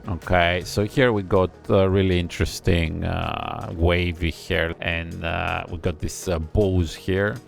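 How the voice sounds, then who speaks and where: A man giving casual reaction commentary on a music video, analytical and admiring, thinking aloud with pauses. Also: low voice, steady tone, gravelly. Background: faint music video playing.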